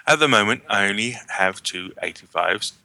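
A man's voice: lowish voice, animated, moderate pace, vocal fry, pushed and bright, no echo, low-quality recording. A man co-hosting a gaming podcast, reading listener emails aloud in a chatty, self-deprecating way. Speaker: podcast co-host.

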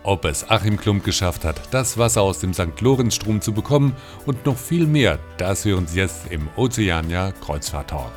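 Tiefe Männerstimme eines Podcast-Moderators. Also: male voice, low-pitched, animated, normal speed, hardly any pauses, faint music behind. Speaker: podcast host. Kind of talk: scripted interview podcast. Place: audio studio.

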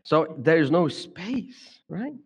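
A man's voice: on the high side, sing-song, slow pace, husky. A middle-aged man from Brazil with a Brazilian Portuguese accent, giving a reflective talk to a congregation and often stumbling over repeated words and restarts. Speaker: speaker at a church gathering. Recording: long hall reverb.

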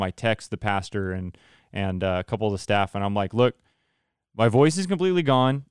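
A man's voice: fairly low pitch, clear, resonant tone, no room sound, studio quality. A man hosting a casual interview podcast, chatting in a self-deprecating, joking way. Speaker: podcast host; church worship leader.

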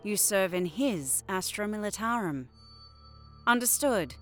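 An actress playing a stern, menacing military commissar, cold and commanding, in a sci-fi war audio drama. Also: female voice, medium pitch, lively intonation, unhurried, fairly bright tone, faint music behind.

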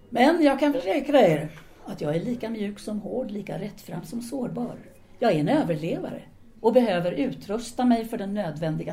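A woman's voice: fairly high pitch, dark tone. A woman playing a hard, scornful, self-possessed intellectual in an audio drama, with a cool, formal, cutting manner. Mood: defiant.

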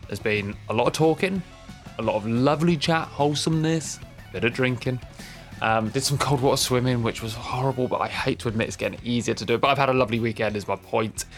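A man's voice: medium pitch, fast talker, soft background music. Casual male podcast host, tired and chatty. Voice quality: slightly croaky. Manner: informal, self-deprecating.

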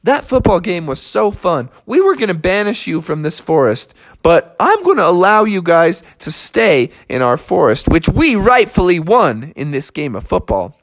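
A man's voice: sits high, sing-song, moderate pace, hardly any pauses. A man voicing a cheerful, generous woodland creature in a children's story, easygoing and magnanimous. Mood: friendly, generous.

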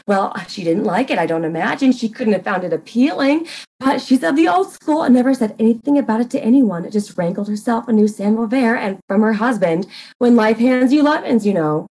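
A woman's voice: a little high, animated, a little fast, darkish tone, breathy edge, low-quality recording. A woman playing a flustered, scatterbrained society wife who gossips coyly and hesitantly in a comic murder mystery reading. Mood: coy, gossipy. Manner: fluttery, hesitant, coyly confiding.

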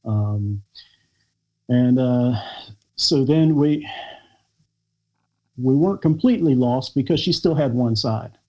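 American man with a mellow, reflective voice. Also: medium pitch, expressive, low-quality recording. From United States. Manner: reflective, halting storytelling with many fillers. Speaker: guest. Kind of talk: podcast interview conversation.

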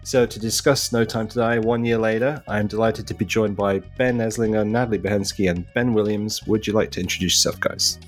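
Man, podcast host, low voice, brisk, jokey, faint background music. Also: fairly even intonation. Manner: brisk, jokey, quick to laugh. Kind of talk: hosting a podcast discussion.

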